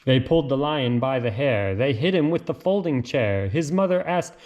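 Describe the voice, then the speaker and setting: A man reading a children's picture book aloud in an expressive, sing-song, theatrical way. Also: medium pitch, normal speed, muffled recording, a little hiss or hum. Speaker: read-aloud storyteller.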